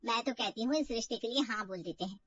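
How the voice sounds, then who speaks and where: Coaxing middle-aged mother a female storyteller acting, high voice, reassuring, persuasive, dull audio. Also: fast talker, far from the mic, a little hiss or hum, lightly acted.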